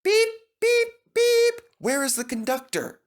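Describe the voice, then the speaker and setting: A man voicing an eager, polite young steam engine, excitable and easily flustered, in a children's storybook reading. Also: extremely high pitch, slow pace, pitched above the performer's own voice, exaggerated voice. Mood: anxious, cross.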